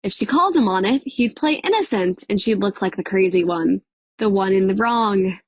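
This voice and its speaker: A woman narrating a dramatic audiobook in a measured, expressive storytelling manner. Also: mid-range voice, no room sound. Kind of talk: scripted dramatic fiction narration.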